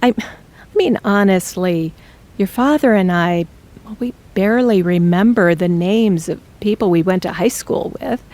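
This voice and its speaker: A woman's voice: medium pitch, a little mellow, close to an everyday voice. An actress playing a middle-aged mother who firmly presses her daughter about her future plans. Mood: earnest. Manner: firm, measured, blunt. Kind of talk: audio drama acting.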